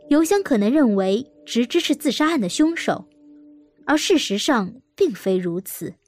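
An actress playing a troubled woman seeking revenge, narrating an audio drama in a deliberate, introspective way. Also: fairly high pitch, a little mellow.

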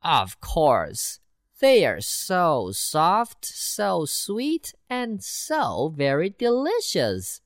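A woman voicing a boastful, worldly city mouse in a children's storybook reading, with a slow, bouncy delivery. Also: wildly swooping pitch, no echo, clean studio sound, heavily acted. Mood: scornful. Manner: slow, bouncy storybook voice with big pitch swings.

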